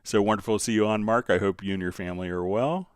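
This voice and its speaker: A man hosting a live stream, talking viewers through software in a casual, conversational, explanatory way. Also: fairly low pitch, fast talker, smooth, no echo, studio quality. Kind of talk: casual live tutorial and chat.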